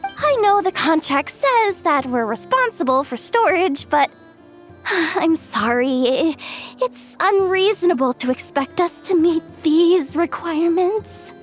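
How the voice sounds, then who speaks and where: A woman's voice: very high voice, animated, slow, full character voice. A woman playing a frazzled, earnest young female expo manager in a video game, flustered and stammering. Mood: exasperated. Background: music.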